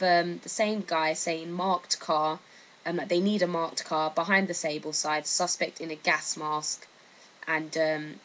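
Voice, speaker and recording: Female narrator, low register, hesitant informal explainer, slightly noisy recording. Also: a bit bright. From United Kingdom. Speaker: video narrator. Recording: faint background noise.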